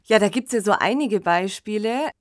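Woman cohosting a radio podcast, medium pitch, explains stuff clearly, dry close sound. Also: moderate pace, dry recording. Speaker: podcast co-host. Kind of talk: conversational radio discussion of science fiction.

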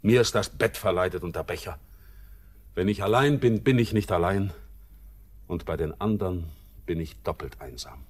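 An actor playing a world-weary, grieving young sailor in a 1940s German radio play, declaiming poetically with heavy pauses. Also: male voice, sits low, expressive. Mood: haunted, grieving.

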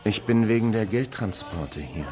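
A man's voice: lowish voice, lively intonation, normal speed, mellow, soft background music, close to an everyday voice. An actor playing a wry investigator who tells his undercover caper dryly, in a crime radio drama. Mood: probing. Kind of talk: first-person crime radio drama narration and dialogue.

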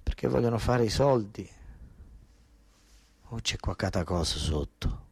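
A man with a southern Italian accent from Basilicata delivers a theatrical first-person monologue, colloquial and dialect-tinged, with repeated phrases and dramatic pauses. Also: lowish voice, animated, vocal fry, darkish tone, husky. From southern Italy. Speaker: stage storyteller and monologue actor.

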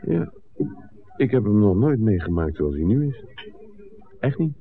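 A man's voice: on the low side, big pitch swings, unhurried. An actor playing a worldly, principled man with a wry, bantering way, teasing his friend in a 1960s Dutch radio drama. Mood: friendly, confiding. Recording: muffled, noisy old radio drama recording.

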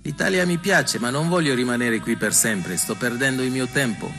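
An actor playing a weary migrant street vendor who dreams of home, in a theatrical monologue. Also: male voice, mid-range voice, moderate pace, creaky, bright, forceful tone. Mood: wistful, weary. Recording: reverberant hall with music behind.

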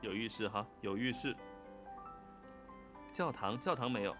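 A man co-hosting a fan quiz livestream, chatting casually and echoing short words in quick bursts as he reacts to answers. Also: fairly low pitch, over music. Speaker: co-host of a fan quiz livestream for an audio drama.

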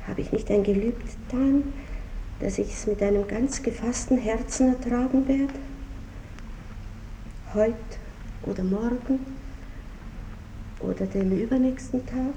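Dignified aristocrat woman, highish voice, composed, old radio play with echoey room. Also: recorded from a distance. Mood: wistful. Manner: composed, aristocratic, gently commanding. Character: worldly, dignified Viennese noblewoman, wistfully renouncing. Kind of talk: 1960s German radio drama acting. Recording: roomy, faintly noisy old radio recording.

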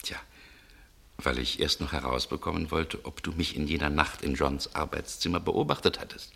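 Shrewd crime-drama man, very creaky low voice, forceful edge, insinuating, deliberate, radio play. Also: steady tone, full character voice. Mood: accusing, controlled. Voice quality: gravelly. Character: shrewd, cool man coolly interrogating a murder suspect. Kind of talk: German radio mystery drama acting.

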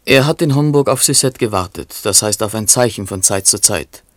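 A man's voice: fairly low pitch, normal speed, no room sound, close to an everyday voice. A man playing a dry, knowing chronicler in a literary radio play, narrating tersely with faint irony. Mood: matter-of-fact. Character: dry, knowing male chronicler of a poet's life.

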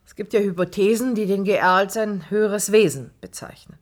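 An actress playing a curious, probing interviewer in a radio drama. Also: female voice, medium pitch, brisk, natural voice.

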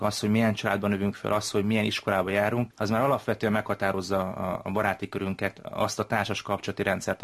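Gravelly, even-toned man explaining research. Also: on the low side. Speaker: social researcher interviewed as guest expert. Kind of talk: explanatory interview answers.

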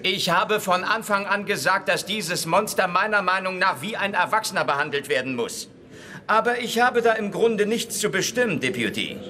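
An actor playing a zealous hard-line state prosecutor making official, forceful speeches in a German radio drama. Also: male voice, high voice, lively intonation, moderate pace. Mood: official, determined.

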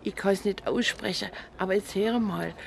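An actress playing a brisk, eager choir singer with a Swabian accent in a radio drama. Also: female voice, mid-range voice, creaky, slight background noise, lightly acted. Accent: Swabian German. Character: brisk, eager female choir-singing friend of an inspector. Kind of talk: naturalistic radio drama acting.

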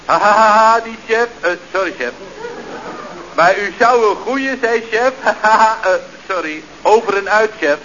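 An actor playing an eager, dim, deferential employee on a walkie-talkie in a radio comedy. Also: male voice, high-pitched, lively intonation, moderate pace, pushed and bright. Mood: amused, chirpy. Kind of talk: 1970s Dutch radio comedy serial acting. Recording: dull, noisy vintage radio recording.

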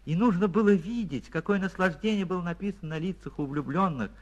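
A man reading a literary short story for radio as an actor, expressive and theatrical, with deliberate pauses. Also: sits high, highs cut off. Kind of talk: dramatic literary narration for radio.

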